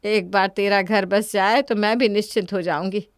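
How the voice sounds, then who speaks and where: An anxious ageing mother from a cartoon drama, acted by a woman. She coaxes and pleads with gentle persistence, at a middle pitch in a dry recording. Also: fairly even intonation, normal speed, clean tone, no room sound, close to an everyday voice. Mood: worried, coaxing. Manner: coaxing, pleading.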